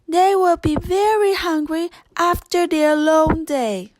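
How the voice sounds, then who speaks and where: A woman voicing a sweet, cheerful young princess, gentle and encouraging, for a children's storybook. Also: very high-pitched, takes it slowly, no echo, voice pushed up. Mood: cheerful, pleased.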